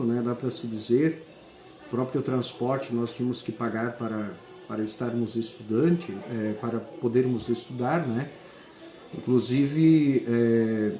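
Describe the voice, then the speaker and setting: A man, a school principal answering interview questions and often pausing to search for words. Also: medium pitch, a little mellow. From southern Brazil. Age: 40s. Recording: echoey room.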